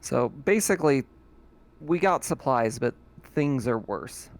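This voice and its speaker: Gravelly man running a tabletop game. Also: mid-range voice, expressive, a little hiss or hum. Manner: halting, many fillers. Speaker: game master.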